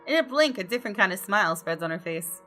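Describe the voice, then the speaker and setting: A woman's voice: mid-range voice, expressive, fast talker, fairly bright tone, faint music behind. A woman reading visual novel narration aloud as a gaming video creator, in a dramatic storytelling way with casual asides.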